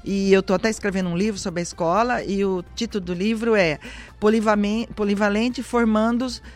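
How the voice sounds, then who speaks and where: A woman's voice: mid-range voice, fairly even intonation, fairly quick. Emphatic Brazilian woman in her sixties. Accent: Brazilian Portuguese. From central-west Brazil. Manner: warm, emphatic, speaks with conviction. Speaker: retired teacher and former councilwoman. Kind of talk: live radio interview guest.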